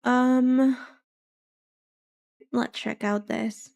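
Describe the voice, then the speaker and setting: A soft-spoken woman chats casually on a livestream, thinking aloud with dry, wry humor. Her voice is mellow and a little high, and she takes her time with her words. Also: fairly even intonation, drawn-out words, gravelly. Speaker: livestreamer, vtuber. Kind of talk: casual chat reacting to a game livestream.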